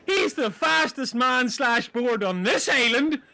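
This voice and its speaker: A man playing an excitable, indignant castaway in an amateur comedy audio drama, with a fussy, melodramatic delivery. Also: very high voice, slow pace, background noise, full character voice. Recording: honking, blown-out amateur audio.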